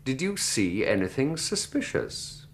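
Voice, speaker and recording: A man's voice: medium pitch, very animated, unhurried, a little hiss or hum. An actor playing a stern, sceptical inquest examiner in a radio drama, pompous and incredulous as he puts clipped questions. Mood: scornful, impatient. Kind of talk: radio drama courtroom acting.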